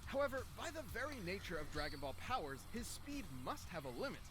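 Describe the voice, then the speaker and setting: A man's voice: high-pitched, sing-song, quick pace, noisy background. A man delivering scripted, hyped analysis as the narrator of a versus show. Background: background music.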